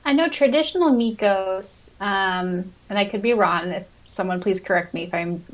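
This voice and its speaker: A woman's voice: medium pitch, normal speed, rough recording. A woman co-hosting a podcast in a casual fan chat, sometimes reading comments aloud. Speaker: podcast host.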